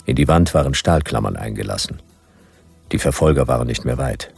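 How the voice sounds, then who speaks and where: A man's voice: a bass voice, expressive, fairly quick, gravelly, a little mellow, husky, no room sound, faint music behind, full character voice. A man playing a hard-boiled private detective, narrating a radio play in dry, clipped first-person sentences. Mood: dry, wry.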